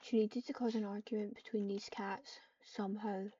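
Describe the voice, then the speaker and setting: A woman narrating an improvised roleplay story, reading it aloud haltingly and stumbling over words. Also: mid-range voice, flat delivery, mellow, a little hiss or hum. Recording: dull, muffled lo-fi microphone.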